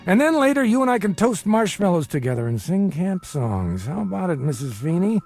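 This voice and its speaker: An actor playing a boozy middle-aged small-time bookie in an audio drama, a glib, self-deprecating charmer and doting father given to theatrical flattery. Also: sits high, a little fast, creaky, faint music behind, heavily acted. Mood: breezy, flattering.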